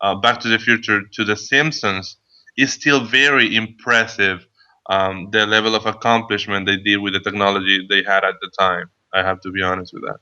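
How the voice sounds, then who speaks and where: A man's voice: on the low side, little pitch movement, moderate pace, sharp tone, smooth, dull audio. A man in his thirties from Venezuela, a podcast listener answering questions, hesitant and full of ums and restarts. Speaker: guest listener. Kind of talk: casual podcast Q&A.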